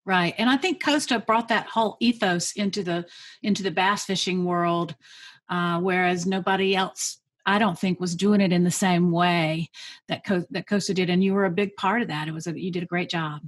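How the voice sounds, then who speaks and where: A woman's voice: lowish voice, steady tone, moderate pace. A woman hosts a podcast in a casual, upbeat way. She encourages her guest and keeps the talk moving. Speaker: podcast host. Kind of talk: casual interview hosting.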